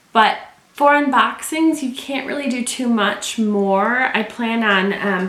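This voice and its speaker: A woman's voice: mid-range voice, a bit bright. A woman from Michigan chatting casually to viewers while unboxing and reviewing a leather tote at home. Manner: chatty, talks to viewers while handling the bag. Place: home room. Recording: echoey room with faint noise.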